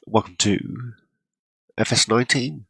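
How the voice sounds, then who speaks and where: A man hosting a gaming channel, congested from a cold and clearing his throat often, rambling casually through his gameplay. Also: medium pitch, a little slow, bright, forceful tone, dry recording. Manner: rambling, self-deprecating, frequent throat clearing.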